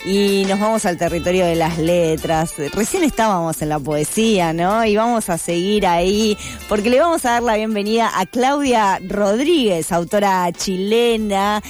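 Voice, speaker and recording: A woman's voice: medium pitch, normal speed, darkish tone, soft background music. A woman with a Rioplatense accent hosting a live radio show, introducing and welcoming a guest. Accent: Rioplatense Spanish. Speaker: radio host.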